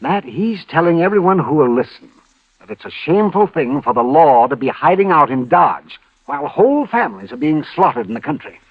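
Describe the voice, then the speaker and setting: An American actor playing a fussy, weary older town doctor in a 1950s radio western, excitable and prone to repeating himself. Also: male voice, on the high side, fairly quick. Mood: grave, urgent. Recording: dull vintage radio recording.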